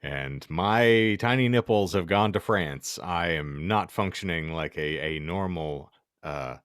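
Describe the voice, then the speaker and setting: A man's voice: low-pitched, slow pace, fairly bright tone, smooth, dry recording, clean studio sound. A man hosting a comedic podcast, wordy and theatrical, rambling through florid, alliterative phrasing. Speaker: podcast host.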